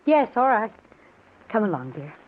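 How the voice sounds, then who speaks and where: A woman playing a gentle, anxious wife who speaks in endearments, in an old-time radio drama. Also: medium pitch, big pitch swings, moderate pace, lightly acted. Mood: soothing. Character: soothing, anxious wife of a disturbed man. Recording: tinny, noisy old radio recording.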